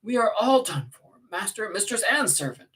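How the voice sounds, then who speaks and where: An agitated maidservant performed by a man, raised well above his own pitch. She is outspoken and anxious, scolding with urgent force in a storybook reading. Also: sing-song, normal speed, a bit bright. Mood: frantic scolding. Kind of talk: dramatic audiobook character reading.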